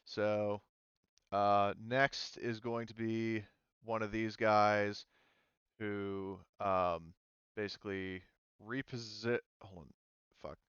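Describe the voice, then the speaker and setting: Low, clean-voiced man running a tabletop game. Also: fairly even intonation, a bit bright, clear, resonant tone, dry recording, muffled recording. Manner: halting, thinking aloud. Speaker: game master. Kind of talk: casual tabletop game narration and rules talk.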